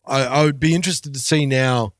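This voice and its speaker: A man co-hosting a podcast, chatting casually and thinking aloud in halting phrases. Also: medium pitch. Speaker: podcast host.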